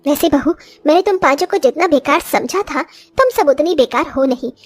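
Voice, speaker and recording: A woman voicing a short older village mother-in-law in a cartoon story, complaining and exclamatory but grudgingly soft-hearted. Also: sits high, lively intonation, brisk, soft background music. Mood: warm. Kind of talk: Hindi cartoon story voice acting.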